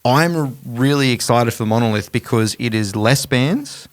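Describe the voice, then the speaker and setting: A man's voice: mid-range voice, unhurried. A man co-hosting a music podcast in a casual, blokey, irreverent way. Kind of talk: casual chat.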